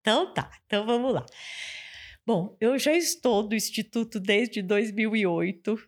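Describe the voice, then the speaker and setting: A woman's voice: mid-range voice, moderate pace. A woman with a Brazilian Portuguese accent, a speech therapist guest on a podcast, explaining things patiently and repeating key terms. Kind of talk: podcast interview answers.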